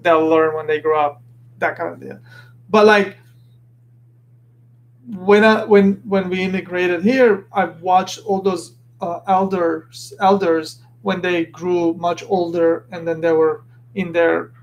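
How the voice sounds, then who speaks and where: A man's voice: high-pitched, steady tone, takes it slowly, a little hiss or hum, rough recording. A man from Lebanon hosting a podcast, talking earnestly in a casual motivational monologue and often restarting as he searches for words. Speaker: podcast host.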